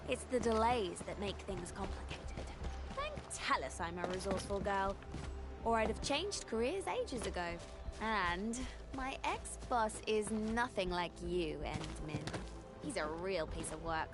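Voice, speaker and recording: A woman's voice: mid-range voice, animated, a little slow, lightly acted. An actress playing a resourceful, composed young woman agent with a wry, instructing manner in a video game. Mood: wry, candid. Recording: game music behind the voice.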